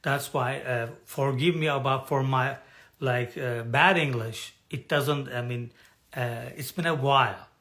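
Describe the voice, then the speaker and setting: A man coaching an online audience in personal development, talking in a halting way with frequent restarts as he searches for words. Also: medium pitch, a bit bright. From has lived in Turkey for decades. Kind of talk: live talk to an online audience.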